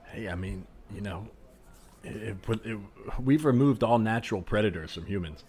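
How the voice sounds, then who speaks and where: A man improvising a desperate, sheepishly philosophical shopper in a comedy scene, halting and rambling as he earnestly justifies himself. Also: lowish voice, animated, a little mellow, slightly rough. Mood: relieved, musing.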